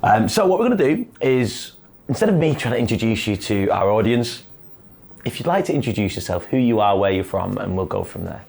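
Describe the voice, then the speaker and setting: A man's voice: lowish voice, expressive, a little fast, creaky, a little mellow. A man hosting a podcast, who introduces the show and asks questions in an upbeat, encouraging and friendly way. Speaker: podcast host. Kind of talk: podcast host intro and interview.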